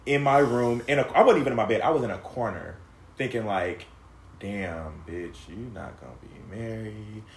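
Low-voiced man in his thirties, echoey room. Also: moderate pace. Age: 30s. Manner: emotional, rambling, many fillers and restarts, swears freely. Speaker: podcast guest sharing a personal story. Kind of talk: casual chat.

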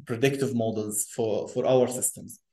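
A man's voice: mid-range voice, steady tone, moderate pace, dark tone. A man who grew up in Lebanon, talking as an interview guest, often repeating himself and restarting sentences. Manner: repeats words, many restarts. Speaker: guest engineer. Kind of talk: conversational interview. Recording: echoey room.

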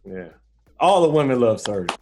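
A man's voice: mid-range voice, sing-song, moderate pace, a little mellow, faint music behind. A man from the United States, a guest basketball player, chatting in an easygoing, hesitant way. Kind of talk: casual interview chat.

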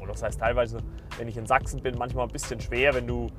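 A man's voice: mid-range voice, little pitch movement, fast, over music. Rambling German man in his thirties. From Saxony, Germany. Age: 30s. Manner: meandering, many fillers and restarts. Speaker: podcast host. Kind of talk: casual rambling monologue.

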